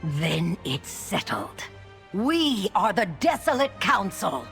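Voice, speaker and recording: Creaky-voiced resolute undead woman leader. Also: mid-range voice, very animated, heavily acted. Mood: firm, resolute. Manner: deliberate, dramatic. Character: resolute undead Forsaken woman, weary but defiant leader. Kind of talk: video game cutscene acting. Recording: loud music behind the voice. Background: loud music.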